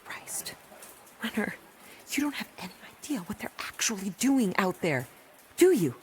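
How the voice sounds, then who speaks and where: A woman's voice: mid-range voice, big pitch swings, normal speed, darkish tone, slight background noise, close to an everyday voice. An actress in an audio drama playing a wary, intense, driven rural woman doctor. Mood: wary, tense.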